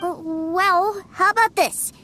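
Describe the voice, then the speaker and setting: A woman's voice: very high-pitched, slow, exaggerated voice. A woman voicing an eager, innocent and stubborn little boy in scripted game dialogue, with a childish, pleading manner. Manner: bright-eyed, pleading, childish.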